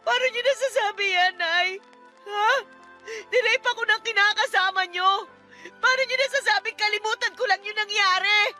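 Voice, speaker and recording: A woman's voice: very high voice, moderate pace, sharp tone. A woman playing a wronged, anguished young daughter who pleads with her mother in an emotional radio drama. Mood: outraged, tearful. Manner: pleading, emotional, respectful turning defiant. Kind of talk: Tagalog radio drama acting. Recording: dramatic music under the dialogue.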